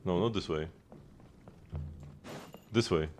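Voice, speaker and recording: Man, low-pitched voice, casual game streamer, offhand thinking-aloud delivery, music in the background. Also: slow pace. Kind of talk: casual gaming livestream commentary, chatting with viewers.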